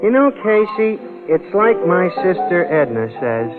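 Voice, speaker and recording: A man's voice: sits high, big pitch swings, measured pace, phone-quality sound. An actor playing a curious, folksy male bartender with unpolished speech in a 1940s radio drama. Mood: curious, chatty. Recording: thin old radio recording, loud music.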